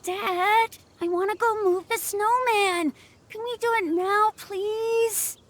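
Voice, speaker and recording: Very high, bright little child. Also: female voice, fairly even intonation, slow, heavily acted. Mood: pleading. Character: excitable sweet little child, affectionate and grateful. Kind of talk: video game cutscene acting.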